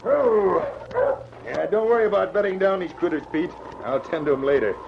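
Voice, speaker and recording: An animated, scheming frontier villain. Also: male voice, sits high, normal speed. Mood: falsely friendly. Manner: rough, folksy, loud and insistent. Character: scheming, jovially false frontier villain and sled driver. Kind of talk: old-time radio adventure acting. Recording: muffled, noisy old radio transcription.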